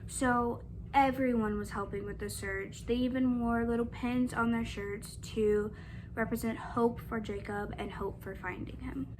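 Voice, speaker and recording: Woman, true crime vlogger, casual narration, frequent ums, slightly high pitch. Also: fairly even intonation, unhurried, background noise. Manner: plain retelling with frequent ums.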